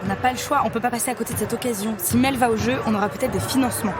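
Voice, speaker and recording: A woman's voice: fairly high pitch, steady tone, very fast, over loud music, natural voice. A woman playing a determined, urgent and persuasive team activist in a French audio drama. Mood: urgent, insistent. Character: determined woman pushing her teammate to fight. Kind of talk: naturalistic French audio fiction acting. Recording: outdoor urban ambient noise.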